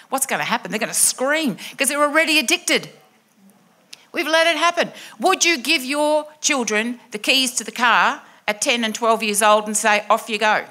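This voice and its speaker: An Australian woman in her fifties addresses a rally from a lectern, speaking loudly and forcefully into a stand microphone. Her voice booms and sits in a middle pitch range, and she presses her points with repeated rhetorical questions. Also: a little hiss or hum. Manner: emphatic, loud and booming, with rhetorical questions. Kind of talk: impassioned public speech. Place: indoor venue with brick wall.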